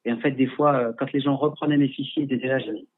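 A man's voice: mid-range voice, fairly even intonation, fast. A male photographer chatting on a livestream, answering viewer questions with many hesitations and restarts, speaking through a headset. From France. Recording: husky, tinny call audio.

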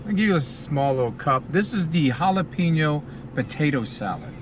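Higher-voiced American man, enthusiastic food vlogger. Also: big pitch swings, normal speed. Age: middle-aged. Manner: talking between bites, savoring, enthusiastic. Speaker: food reviewer. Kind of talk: casual food review to camera. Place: inside a parked car. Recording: car cabin with clear ambient noise.

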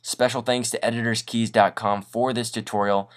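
A man hosting a casual software tutorial in an informal, upbeat, self-deprecating way. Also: medium pitch, flat delivery, normal speed. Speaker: tutorial host. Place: voice-over on a screen recording.

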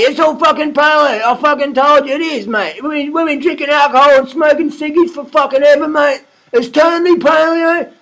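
A man with an Australian accent playing a brash, defensive meathead bogan in a sweary, shouting comedy rant. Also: very high voice, full character voice, low-quality recording. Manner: shouting, swearing ranting monologue. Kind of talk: comedy character monologue.

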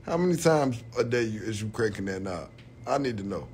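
Brief: young man in his 20s, low-voiced, crude jokester chatting to viewers from bed, phone mic close, faint room hum behind. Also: expressive, a little fast, a little mellow. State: tired, late at night.

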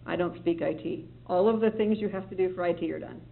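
Gravelly-voiced city staffer, tinny meeting recording. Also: mid-range voice, fast, slight background noise. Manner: rambling, self-correcting, reassuring. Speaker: city staff member briefing a board. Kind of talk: public meeting briefing. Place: meeting room.

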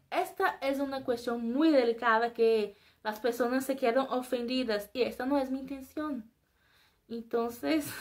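High-voiced Brazilian woman, chatty vlog. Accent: Brazilian Portuguese. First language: Portuguese. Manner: chatty, self-mocking, animated faces and gestures. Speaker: vlogger. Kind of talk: casual chat to camera. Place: small indoor room before a flag.

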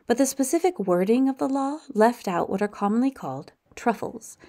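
A woman with a gravelly, fry-edged voice narrates a walking tour. She speaks in a measured, informative way, with a dry, lightly wry touch. Her pitch sits in a middle range. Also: a little mellow. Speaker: tour guide.

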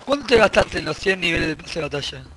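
A man's voice: a little high, big pitch swings, fast, a bit bright, slight background noise, rough recording. A young man with a Rioplatense accent, a teammate who needles his friend with joking banter. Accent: Rioplatense Spanish. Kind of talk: casual gaming chat with friends.

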